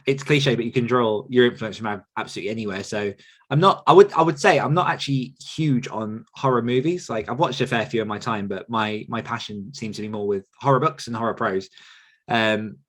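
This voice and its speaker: Guy in his 30s, chill rambly podcast chat, mid pitched, lots of ums and restarts. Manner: relaxed, rambling with fillers and restarts, laughs often. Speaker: podcast host answering listener questions. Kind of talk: casual chat.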